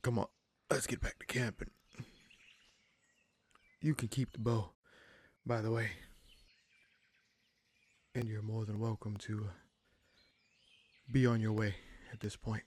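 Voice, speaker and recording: A man playing a kindly but gruff wounded bandit leader in an audio roleplay, speaking to the listener in halting, musing phrases. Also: fairly low pitch, level tone. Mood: thoughtful, suspicious.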